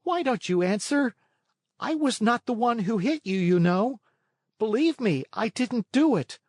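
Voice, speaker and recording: A man plays a little wooden puppet boy from a children's storybook, high-pitched and childish. He pleads and laments in big swooping tones, stubborn and distraught. The recording is close and dry. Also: no room sound, heavily acted. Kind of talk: animated children's storybook read-along.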